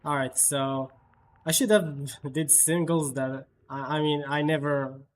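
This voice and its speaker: Slow, halting male game streamer. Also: a little high, steady tone, slight background noise. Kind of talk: casual live gameplay commentary. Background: faint game music.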